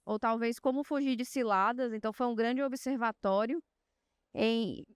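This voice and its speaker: A woman's voice: a little high, moderate pace, smooth, no room sound, clean studio sound. A woman from Salvador with a Bahian accent, speaking as a panelist and telling her career story fluently and confidently. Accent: Bahian Portuguese. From Salvador, Bahia, Brazil. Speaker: panelist, innovation executive. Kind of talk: panel talk.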